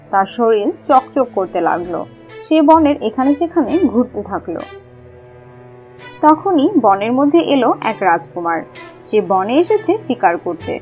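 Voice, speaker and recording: A woman's voice: fairly high pitch, muffled recording. A woman narrating a scripted fairy-tale cartoon as a storyteller.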